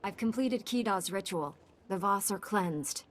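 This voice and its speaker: A woman's voice: medium pitch, lively intonation, measured pace. A woman playing a resolute young warrior hero in a video game, speaking in an earnest, direct, composed way. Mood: determined. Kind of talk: video game cutscene acting. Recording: music under the voice.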